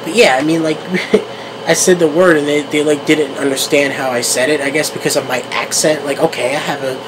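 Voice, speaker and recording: A man's voice: fairly high pitch, fairly even intonation, fairly quick. A young man from New Jersey with a central New Jersey accent, rambling casually to his camera in a solo vlog. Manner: rambling, with many restarts and fillers, laughing at himself. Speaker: vlogger. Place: room at home, talking to a camera on a tripod. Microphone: camera microphone. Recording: noisy home camera recording.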